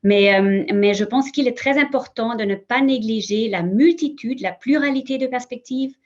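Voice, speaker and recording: A woman speaking French as a second language on a conference panel for her museum, pausing often with fillers and restarts. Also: fairly high pitch, a little mellow, dull audio. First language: not French. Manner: hesitant, many fillers and restarts. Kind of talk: conference talk, spoken off the cuff.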